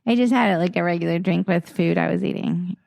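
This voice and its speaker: A woman's voice: on the low side, quick pace, vocal fry, darkish tone. A woman from South Carolina co-hosting a podcast in casual, playful chat, saying "like" constantly. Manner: chatty, heavy use of "like", playful. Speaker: podcast co-host.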